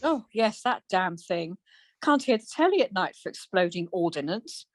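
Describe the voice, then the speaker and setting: A woman's voice: medium pitch, big pitch swings, normal speed, no echo. A woman playing a sarcastic middle-aged wife. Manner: dry, sarcastic, teasing. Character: Margaret, a sharp-tongued teasing middle-aged wife. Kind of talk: amateur radio play acting.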